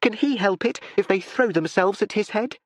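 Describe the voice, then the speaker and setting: A man's voice: sits high, moderate pace, no room sound, clean studio sound, voice pushed up. A man reading an audiobook and voicing a spirited young woman who pleads breathlessly and urgently. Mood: insistent.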